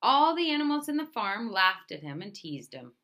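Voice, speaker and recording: Bright-voiced woman reading a storybook. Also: mid-range voice, sing-song, moderate pace. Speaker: storybook reader. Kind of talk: scripted children's storybook read-aloud.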